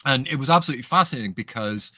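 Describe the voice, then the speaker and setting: A man's voice: mid-range voice, expressive, racing, pushed and bright, dry recording. Man, guest reviewer, musing, rhetorical questions, dry close sound. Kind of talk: casual book chat.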